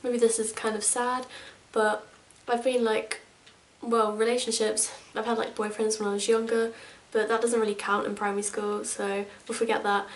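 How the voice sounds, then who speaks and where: A woman's voice: on the high side, little pitch movement, normal speed, few pauses. A woman in her twenties from England, chatting casually to camera as a vlogger, rambling with lots of fillers. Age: 20s. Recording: echoey room, mic at a distance.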